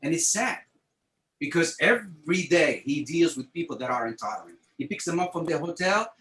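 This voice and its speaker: A man's voice: a little high, lively intonation, fairly quick, fairly bright tone. A man telling a travel story on a casual podcast chat, with many repeated words and restarts. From Tunisia. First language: French. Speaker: podcast host. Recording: echoey room, faint noise.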